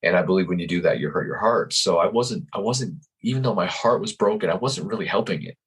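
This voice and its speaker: A man in his fifties, a life coach, giving calm and earnest interview answers. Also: sits low, breathy edge. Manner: calm, earnest, speaks slowly in thought.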